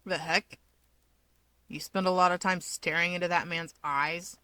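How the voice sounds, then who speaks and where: A woman voicing a guarded, irritable young man with a deadpan, sarcastic delivery. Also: on the low side, unhurried, dry recording. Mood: irritated. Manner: deadpan, snarky.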